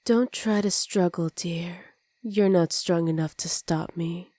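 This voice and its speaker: A woman performs a possessive, smug supervillainess in an ASMR roleplay. Her voice is soft, dark and relaxed, recorded very dry and close. She teases and commands in an unhurried, confident way. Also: fairly low pitch, no echo. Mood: smug, taunting. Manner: smug, teasing, commanding, often dropping to soft whispers. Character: dominant female supervillain with superpowers, teasing and possessive.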